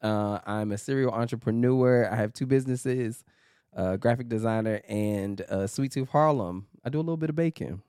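A man's voice: medium pitch, normal speed, warm tone, clear, resonant tone, no room sound, studio quality. A man, co-hosting a faith podcast in a playful, laughing, easygoing chat. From New York. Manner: playful, hyped, loose and laughing. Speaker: podcast co-host. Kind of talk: casual chat.

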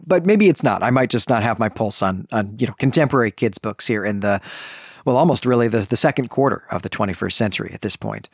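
A man's voice: lowish voice, lively intonation, quick pace, breathy edge, no room sound, clean studio sound. A middle-aged American man from the Chicago suburbs hosting a book podcast, talking through a review in an enthusiastic, discursive, conversational way. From suburb of Chicago, US Midwest. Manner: enthusiastic, discursive, often checks in with "right?".

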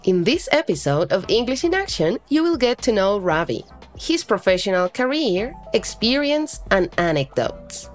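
Woman podcast host, medium pitch, faint music. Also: big pitch swings, normal speed. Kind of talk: conversational interview hosting.

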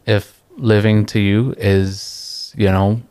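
A young man from the Philippines, a guest talking in a relaxed, reflective way, pausing often and laughing easily. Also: on the low side, clean tone. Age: young adult. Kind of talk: casual interview chat.

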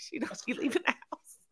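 A young woman co-hosting a casual sports chat, playful and teasing, laughing often. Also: pushed and bright. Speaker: co-host.

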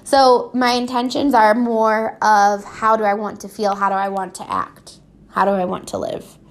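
A woman's voice: mid-range voice, fairly even intonation, moderate pace. A woman chatting casually and unscripted as a solo host, rambling informally, with a stuffy, slightly scratchy voice congested from allergies. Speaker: podcast host. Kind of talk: casual solo chat about personal habits.

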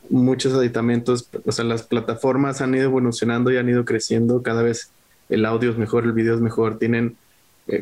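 A man's voice: mid-range voice, darkish tone. Friendly chatty male interview host. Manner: friendly, chatty, laughs easily. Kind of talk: casual interview chat. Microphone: video call.

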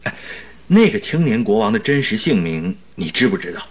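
An actor playing an eager, talkative doctor and detective's sidekick in a radio drama, exclaiming theatrically. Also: male voice, on the low side, very animated, a little fast, slightly rough. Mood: curious. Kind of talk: Mandarin radio drama acting.

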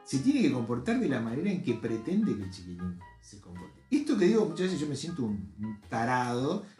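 A man's voice: mid-range voice, huge pitch swings, a little fast, darkish tone, reverberant, faint music behind. A man, an author and educator speaking earnestly and emphatically as a podcast guest. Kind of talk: casual podcast conversation.

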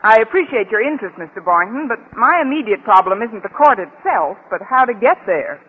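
A woman playing a quick-witted high school teacher in a radio sitcom, with dry, wisecracking delivery. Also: lively intonation, slightly rough, phone-quality sound. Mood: wry, polite. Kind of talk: 1950s radio sitcom acting. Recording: old radio recording, faint hiss.

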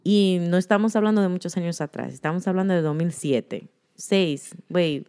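A woman's voice: on the low side, moderate pace, a little mellow, clean tone. A woman in her thirties speaking openly in casual conversation, laughing often and dropping English words into her speech.

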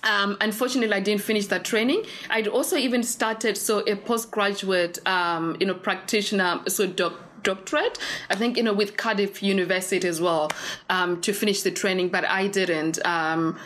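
A woman's voice: mid-range voice, steady tone, normal speed, a bit bright, slight background noise. A woman in her thirties from Zimbabwe, whose first language is not English, telling an interviewer her story in a rambling, filler-heavy way. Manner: rambling, with many fillers and restarts. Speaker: interview guest. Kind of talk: casual conversational storytelling.

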